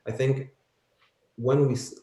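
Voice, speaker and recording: A man speaking earnestly as a panelist, haltingly, repeating words as he thinks. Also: medium pitch, flat delivery, mellow. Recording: roomy echo.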